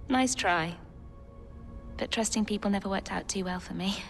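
An actress playing a bossy, guarded, frazzled woman in a dramatic game cutscene, talking in a sharp, exasperated way. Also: medium pitch, moderate pace, close to an everyday voice. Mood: guarded, weary. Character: bossy, frazzled, guarded woman actor sheltering in her home. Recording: long reverb, music underneath.

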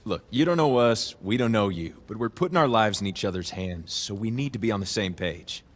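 A low-voiced, cocky young crew boss. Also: male voice, animated, brisk. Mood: casual, upbeat. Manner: casual, wry, profane. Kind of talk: video game cutscene acting.

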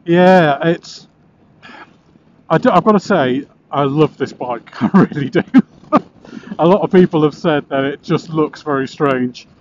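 A muffled helmet-intercom recording with some road noise carries a man's fairly high voice. He talks casually and with enthusiasm, like a motorcycle reviewer out on a ride. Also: unhurried. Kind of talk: casual chat while riding. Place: outdoors, riding a motorcycle. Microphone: helmet intercom camera mic.

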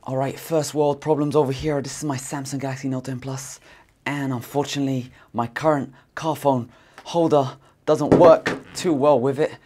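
A man, casually reviewing a gadget in a jokey, excitable way from inside a car. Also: medium pitch. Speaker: tech reviewer. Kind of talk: casual product review vlog. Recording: noisy car cabin.

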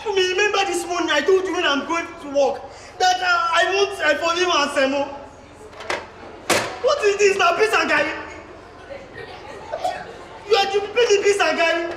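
A young man with a Nigerian English accent playing a comic husband who complains about his dinner with a drawn-out, theatrical delivery. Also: very high-pitched, pushed and bright, slight background noise, low-quality recording. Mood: exasperated. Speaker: stage actor. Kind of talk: live stage drama. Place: theatre stage, large hall.

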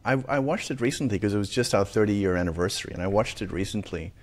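A middle-aged man hosting an interview, recalling a past film project in a reflective, halting way. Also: lowish voice, expressive. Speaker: host, filmmaker. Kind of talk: conversational interview.

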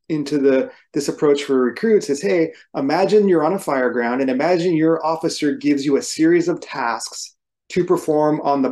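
A man's voice: a little high, darkish tone. A man in his forties speaking as a podcast guest on a video call from a room at home, explaining things in a methodical, instructive way. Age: 40s. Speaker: podcast guest, firefighter and coach. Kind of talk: conversational explanation in an interview. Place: small room at home, indoors.

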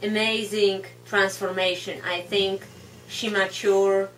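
A woman in her thirties with a Polish accent, reflecting casually in hesitant, halting non-native English. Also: mid-range voice, flat delivery, fairly bright tone. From Poland. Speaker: guide dog puppy raiser. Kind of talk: casual reflective talk to camera. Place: indoor room at home, seated on floor. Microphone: camera mic a few feet away. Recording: distant camera mic, hum and background noise.

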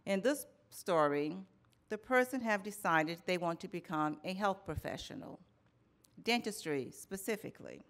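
A middle-aged woman delivering a keynote at a conference. She speaks from a lectern microphone in a measured storytelling manner, with a string of rhetorical questions and lists. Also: fairly low pitch, expressive. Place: conference hall in front of a banner backdrop. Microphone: lectern microphone, medium framing.

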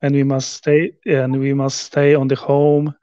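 A man in his thirties from Poland with a Polish accent, speaking halting English with many restarts in a video call interview. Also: mid-range voice, completely flat delivery, mellow, clean tone, dry recording, dull audio. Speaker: interview guest, rower. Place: living room at home.

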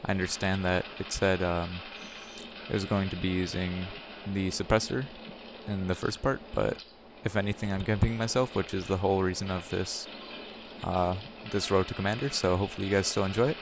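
A young man with a slightly raspy voice, giving casual commentary over his own gameplay footage and often correcting himself as he talks. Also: deep voice, fairly even intonation, brisk, background noise. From Wisconsin, USA. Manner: rambling, self-correcting, chatty. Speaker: gaming channel host. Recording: music playing behind the voice.

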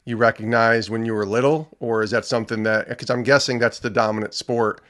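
A middle-aged man hosting a podcast, recording in a home studio with headphones on. He talks at an ordinary, mid-level pitch and keeps things conversational. He often checks in with "right?" and "correct?" as he asks his questions. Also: steady tone, brisk, a bit bright. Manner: friendly, prompting questions with "yeah" and tag questions. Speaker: podcast host. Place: indoors, home studio with posters behind. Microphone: headset or desk mic on a video call, headphones on.

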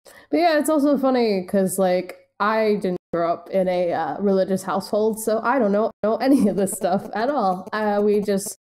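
A woman's voice: mid-range voice, moderate pace, darkish tone. An American woman in casual, informal podcast conversation as a co-host. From United States.

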